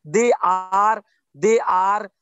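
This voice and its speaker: A man's voice: high voice, very slow, a bit bright, smooth, dry recording, muffled recording. A man in his forties from India with an Indian English accent, speaking earnestly as a guest on a video-call interview and often repeating himself for emphasis. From India (Bihar). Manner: earnest, repetitive, emphatic with restarts. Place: outdoors under trees.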